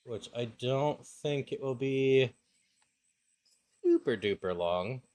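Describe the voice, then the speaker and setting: A man's voice: medium pitch, expressive, slow pace, a little mellow, no room sound. A man who streams video games, chatting casually with his viewers. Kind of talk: casual livestream chat and game read-aloud. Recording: game music under the voice. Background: game music.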